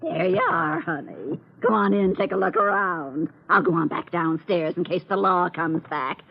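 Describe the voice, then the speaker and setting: Gravelly, brassy radio landlady. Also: on the low side, animated, normal speed. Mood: flattered, flirty. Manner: blunt, slangy, flirtatious. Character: brassy, slangy middle-aged landlady, easily flattered. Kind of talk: 1940s radio acting. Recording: dull lo-fi old radio recording.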